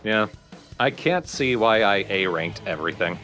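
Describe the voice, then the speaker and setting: A man's voice: fairly low pitch, lively intonation, normal speed, sharp tone. A man co-hosting a let's play with casual, joking commentary. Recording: faint game music behind.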